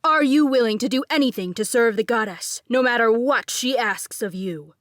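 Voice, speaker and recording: A woman's voice: a little high, moderate pace, no room sound, lightly acted. A woman voicing a young man who fakes a commanding ceremonial voice, pompous and formal, in a dry, close recording. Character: young man faking a booming ritual voice.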